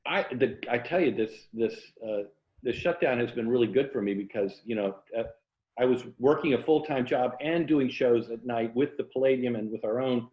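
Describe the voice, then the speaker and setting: A man's voice: mid-range voice, normal speed. An older man from Florida with an American accent, telling anecdotes casually as an interview guest over a video call. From Tampa, Florida, United States. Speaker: interview guest, writer and former journalist. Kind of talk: casual conversational storytelling. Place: indoor room, remote video call. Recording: roomy, dull video call audio.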